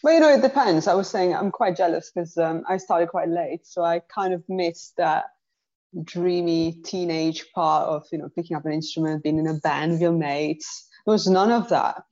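Guest, woman from Sardinia, low mellow voice, wry and frank, dull lo-fi sound. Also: moderate pace. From Sardinia, Italy. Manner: wry, frank, casual swearing. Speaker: musician guest. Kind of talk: casual chat.

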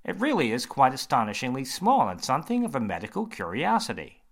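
A man voicing an eager, grinning male surgeon who talks brightly and bluntly. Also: medium pitch, huge pitch swings, gravelly, pitched above the performer's own voice, exaggerated voice. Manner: bright, emphatic, quick.

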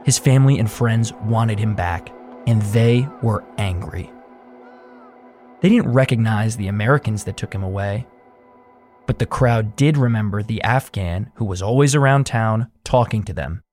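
A man hosting a podcast, reading scripted narration in a measured documentary way. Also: lowish voice, a little mellow, soft background music. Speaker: podcast host.

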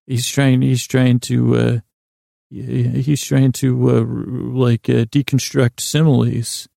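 A man hosting a sleep podcast in a rambling, halting bedtime monologue, losing his thread and restarting in a gravelly voice. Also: mid-range voice, level tone, dark tone, dry recording, clean studio sound. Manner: meandering, halting, self-interrupting, absent-minded.

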